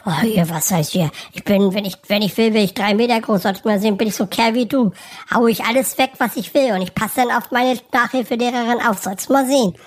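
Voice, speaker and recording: A woman's voice: on the high side, a little fast, lightly acted. A woman voicing a cheeky, boastful six-year-old boy in a comedy sketch, who talks in childish, mangled grammar.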